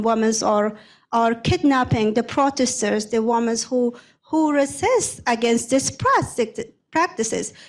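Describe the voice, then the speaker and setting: A young adult woman from Afghanistan, a former prosecutor speaking as a panel guest, talking in halting phrases with many restarts and repeated words. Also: on the high side, darkish tone. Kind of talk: panel discussion answer.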